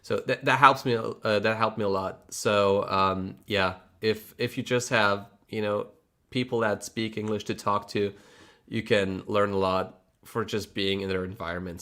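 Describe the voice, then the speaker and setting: A man's voice: low-pitched, a bit bright. A man with a Polish accent and Polish as his first language, hosting a casual livestream chat, rambling and restarting his words, laughing often, chatty and frank. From Poland. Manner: chatty and frank, mild swearing. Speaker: livestream host.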